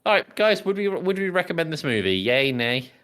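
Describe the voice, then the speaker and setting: A man's voice: on the high side, big pitch swings, normal speed, a bit bright. A man hosting a podcast, chatting casually and steering the conversation. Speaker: podcast host. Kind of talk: casual chat, moderating.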